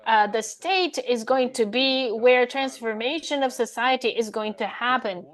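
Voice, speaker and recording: A woman simultaneously interpreting a panel speaker into English, in halting, fragmentary phrases as she keeps pace with him. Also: a little high.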